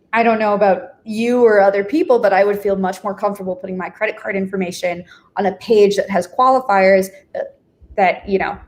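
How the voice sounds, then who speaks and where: Woman guest, mid-pitched, chatty explainer who often asks "right?". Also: darkish tone. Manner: chatty, explanatory, frequent "you know" and "right?". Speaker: affiliate manager guest. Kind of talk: live stream discussion.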